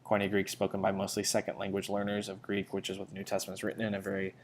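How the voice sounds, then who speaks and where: A man teaching ancient Greek, talking casually and recommending books, with a relaxed, rambling delivery full of fillers and restarts. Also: low-pitched, racing, a little hiss or hum. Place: church community setting.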